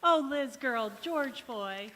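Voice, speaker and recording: A woman's voice: high-pitched, lively intonation, slow pace, fairly bright tone, full character voice. A woman playing an eager, chummy housewife neighbour in a vintage radio comedy on stage, with exclamatory delivery. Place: large indoor hall, live stage performance. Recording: reverberant church hall stage recording.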